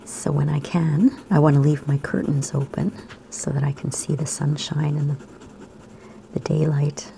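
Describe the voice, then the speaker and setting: A woman, slightly hoarse today, gently talking kids through a drawing lesson in a soft, half-whispered voice. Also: sits low, level tone, normal speed, a little hiss or hum, low-quality recording. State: raspy, slightly sore throat. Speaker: art teacher for kids. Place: home room by a window.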